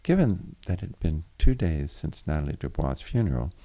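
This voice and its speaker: A man reading literary fiction aloud in a formal, deliberate way, with the occasional stumble. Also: very deep voice, very animated, mellow. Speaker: reader of a story chapter. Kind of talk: literary audiobook narration.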